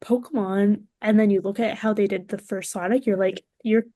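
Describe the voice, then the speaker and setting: A woman speaking as a podcast guest, chatting casually in an informal, expressive way. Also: medium pitch, normal speed, no room sound.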